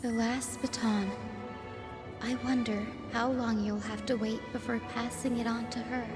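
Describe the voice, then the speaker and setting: A woman's voice: a little high, little pitch movement, a little slow, natural voice. A woman voicing a solemn, tender female video game character. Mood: wistful. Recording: echoey voice under loud music.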